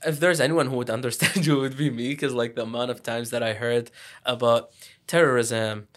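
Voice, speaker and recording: A young man from Iraq chats on a podcast in an easy, unpolished way. His voice sits at an ordinary middle pitch, and he rushes through thoughts, doubling back on his words and leaning on fillers. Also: fairly even intonation, fairly bright tone. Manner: fast, restarting, filler-heavy talk. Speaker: podcast host. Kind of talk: casual podcast conversation.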